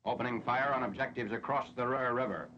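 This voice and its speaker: A man's voice: mid-range voice, fast, pushed and bright, slight background noise. An American man narrating a 1940s military newsreel in a formal, matter-of-fact way. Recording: dull, lo-fi 1940s film soundtrack.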